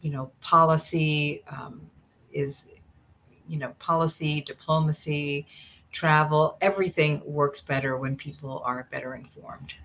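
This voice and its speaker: Deep-voiced middle-aged woman interviewee. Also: steady tone, unhurried, fairly bright tone, rough recording. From United States, in a Cuban immigrant community. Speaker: interview guest, history professor and author. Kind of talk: thoughtful conversational explanation.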